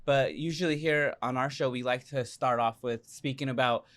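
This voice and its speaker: A man's voice: medium pitch, steady tone, moderate pace, a bit bright, dry recording. A man co-hosting a podcast, effusive and giggly, with many fillers. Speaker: podcast co-host. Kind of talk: enthusiastic casual interview chat.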